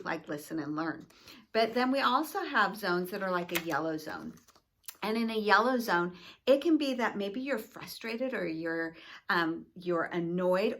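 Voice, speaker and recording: A woman's voice: mid-range voice, fairly bright tone. A woman with a mid-range voice speaks as a school educator to families and children. She explains in simple, encouraging terms. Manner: encouraging, simple teacherly phrasing.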